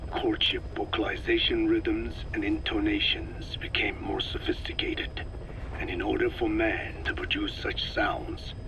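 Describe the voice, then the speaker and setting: An actor playing a very old, frail and solemn scientist in a video game, lecturing slowly in a weak, breathy whisper. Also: male voice, low voice, full character voice, poor audio. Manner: slow, measured, solemn lecturing. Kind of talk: scripted video game monologue, scientific exposition.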